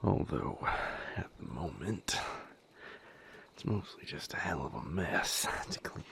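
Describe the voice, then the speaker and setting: A man playing a wry, protective healer who fights vampires in an intimate audio roleplay, speaking haltingly in a breathy, gravelly low voice. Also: animated, whispered, full character voice. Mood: reflective, subdued.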